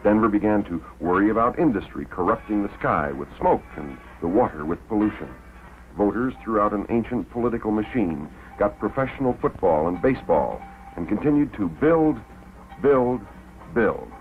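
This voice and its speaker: A man's voice: fairly low pitch, animated, moderate pace. Tinny vintage American male documentary narrator. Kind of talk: 1950s promotional documentary narration. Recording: tinny old film soundtrack, faint music.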